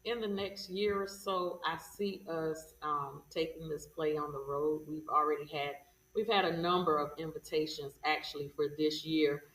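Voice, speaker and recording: A woman with a bright, forceful voice that stays very even in pitch answers as an interview guest. Her manner is measured and hopeful. The sound is echoey and far from the mic. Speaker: stage play creator and interview guest. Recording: echoey room, distant microphone.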